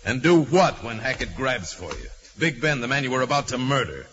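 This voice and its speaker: A man playing a calm, shrewd crime-solving hero with a measured, commanding manner in 1940s radio drama. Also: medium pitch, slightly rough. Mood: coolly challenging. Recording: muffled, faintly noisy vintage radio.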